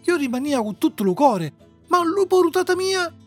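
Un uomo che fa un burattino bambino ingenuo, voce acuta. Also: male voice, very high voice, normal speed, soft background music, voice pushed up. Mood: delighted. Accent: southern Italian. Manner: excitable, childlike. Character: naive, eager, affectionate wooden puppet boy.

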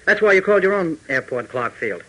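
An actor playing a young, steady, persuasive pilot hero in 1940s radio adventure style. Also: male voice, on the high side, a little fast, muffled recording. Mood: firm, persuasive.

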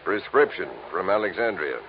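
Gruff, low-voiced radio police lieutenant. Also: male voice, animated, moderate pace. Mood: matter-of-fact. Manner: casual, blunt, offhand. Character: gruff, matter-of-fact male New York police lieutenant. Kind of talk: 1940s-50s radio drama acting. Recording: muffled old radio with background crowd.

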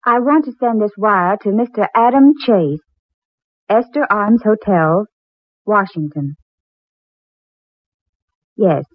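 A woman's voice: mid-range voice, no echo. An actress playing a devoted, anxious young woman in love, with the earnest, tender melodrama of 1940s radio acting. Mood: worried. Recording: tinny, band-limited 1940s radio recording with music.

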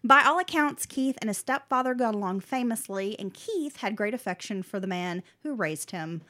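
A woman's voice: medium pitch, moderate pace. A woman co-hosting a podcast, reading a prepared history story with casual, slangy asides. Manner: animated, drops into slangy asides. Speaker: podcast co-host telling the story.

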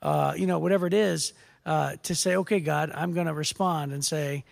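A man with a raspy voice, a teaching pastor co-hosting a casual devotional podcast, telling stories with jokes. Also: on the high side, fairly even intonation, normal speed, in a large hall. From United States. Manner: storytelling, jokey.

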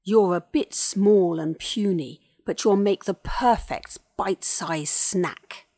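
Woman as a huge loud bullying dinosaur. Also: lowish voice, slow pace, no echo. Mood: gloating menace. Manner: loud, menacing, gloating. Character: huge wicked male dinosaur, snarling, gloating bully.

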